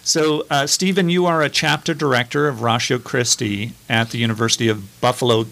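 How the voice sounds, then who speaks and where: A man's voice: medium pitch, steady tone, brisk, gravelly, fairly bright tone, a little hiss or hum, rough recording. A man hosting a live radio interview, asking questions in a playful, joking way. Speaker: radio host.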